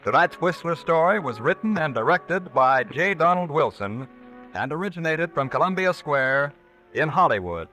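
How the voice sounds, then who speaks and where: Male vintage radio network announcer: formal scripted read, crisp forceful tone, music bed. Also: fairly high pitch, lively intonation, moderate pace, rough recording.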